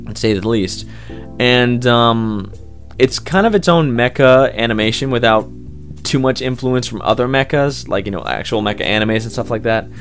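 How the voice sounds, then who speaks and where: A man giving casual, rambling, unscripted interview answers as an amateur voice actor, informal and keen on the work. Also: medium pitch. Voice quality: loud voice. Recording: music playing behind the voice.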